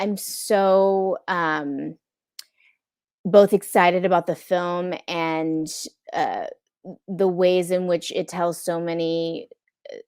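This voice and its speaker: A woman's voice: lowish voice, darkish tone, clean tone, no echo, clean studio sound. A middle-aged American woman giving an earnest, thoughtful answer on a video-call panel, often checking for agreement. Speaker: film impact producer, guest. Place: indoor room, on a video call.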